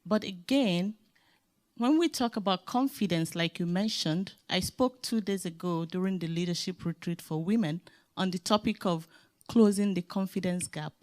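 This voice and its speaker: A woman's voice: medium pitch, a little mellow. A woman with a Nigerian accent, a leadership specialist speaking emphatically on a conference panel. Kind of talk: panel discussion remarks.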